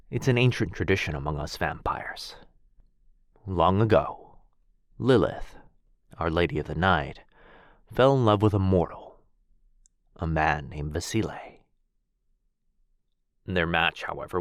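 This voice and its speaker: Casting: male actor as noble, melancholy vampire lord for ASMR roleplay; low register, soft formal delivery, protective, close and dry sound. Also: no echo, exaggerated voice. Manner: soft-spoken, formal, tender, with hushed breathy dips. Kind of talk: intimate boyfriend ASMR roleplay.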